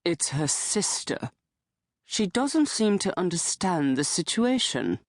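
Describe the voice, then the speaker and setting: A gloomy, thin old man in his late sixties, as a woman reader puts him on. He drawls his words out slowly and formally, in a sour, dry tone, while his pitch rises and falls a lot. He sounds close and dry, with no room around him. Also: very animated, no room sound. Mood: glum, formal. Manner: slow, formal and dryly sour. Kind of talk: audiobook character voice.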